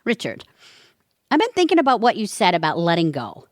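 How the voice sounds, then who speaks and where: A woman's voice: medium pitch, huge pitch swings, a little fast, no room sound. A woman acting in a comedic audio play as a stubborn, frazzled older wife with a dry, defensive, grumbling manner. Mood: earnest.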